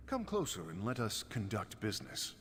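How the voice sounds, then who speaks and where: An actor voicing a suave, roguish reptilian smuggler merchant in a video game, speaking with flowery, wry courtesy. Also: male voice, on the low side, wildly swooping pitch, normal speed, fairly bright tone, in a large hall, slight background noise. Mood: suave, welcoming. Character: suave, roguish male Argonian lizard-man smuggler merchant. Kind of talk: video game character dialogue.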